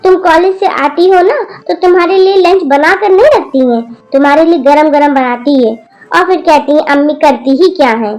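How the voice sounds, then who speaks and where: A woman's voice: high voice, animated, moderate pace, darkish tone, soft background music. A woman voicing a stern older grandmother who lectures her rude granddaughter firmly. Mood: lecturing. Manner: scolding, lecturing, firm.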